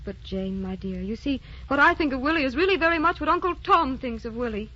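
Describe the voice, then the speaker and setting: An actress playing a gentle, wistful woman who stays youthful, with a genteel, guarded manner, in a sentimental radio drama. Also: high voice, lively intonation, fairly quick, full character voice. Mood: gentle, regretful. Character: secretly ageless young-looking woman, gentle, wistful, guarded. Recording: muffled old broadcast with clear noise.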